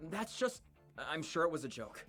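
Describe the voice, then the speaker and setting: Animated, relentlessly cheerful teenage boy. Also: on the high side, moderate pace, faint music behind. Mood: trusting. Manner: upbeat, easygoing, unbothered. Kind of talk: visual novel voice acting.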